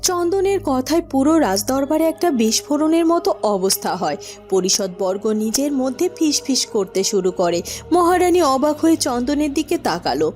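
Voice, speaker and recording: A woman's voice: high-pitched, animated, normal speed, darkish tone, soft background music. A woman narrating a scripted fairy tale as a cartoon storyteller.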